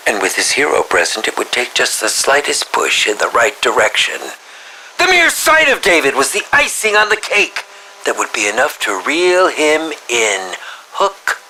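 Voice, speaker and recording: An actor playing a pompous, calculating villain who savors horror, delivering an ornate, theatrical and deliberate monologue for a video game. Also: male voice, mid-range voice, bright, forceful tone, a little hiss or hum, full character voice, low-quality recording. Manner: ornate, deliberate, theatrical, breathy dips into near-whisper. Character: sinister, pompous male filmmaker villain, coolly calculating connoisseur of horror.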